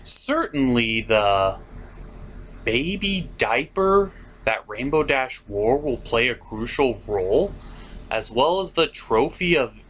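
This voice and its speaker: Sarcastic review host ranting, really swoopy pitch, takes their time, theatrical and fed up, bit of noise behind. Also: a bit bright. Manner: exasperated, sarcastic, theatrical emphasis. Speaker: host of a cartoon review show. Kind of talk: comedic review rant with scripted recap.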